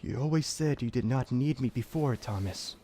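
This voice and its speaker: A man's voice: mid-range voice, warm tone, a little hiss or hum, natural voice. Man acting a solemn, formal demon servant. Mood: impassioned, hurt. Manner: formal, measured, archaic phrasing without contractions. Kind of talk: audio drama acting.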